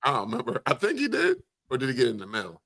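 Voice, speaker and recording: Bright, forceful-voiced man chatting casually. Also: mid-range voice, wildly swooping pitch, fast talker, gravelly. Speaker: podcast host. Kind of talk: casual group banter.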